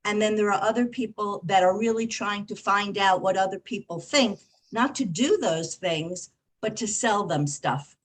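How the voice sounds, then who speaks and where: A woman in a group discussion, speaking in a reflective, measured way. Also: medium pitch. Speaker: discussion participant.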